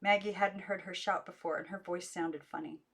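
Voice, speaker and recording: Female amateur audiobook narrator, lower-pitched, scripted children's fiction read aloud. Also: fairly even intonation, moderate pace, fairly bright tone.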